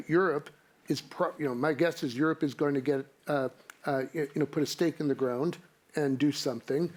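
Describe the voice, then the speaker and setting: A man answering on a conference panel, halting, with many fillers and stuttered restarts. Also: medium pitch, darkish tone. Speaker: panelist investor.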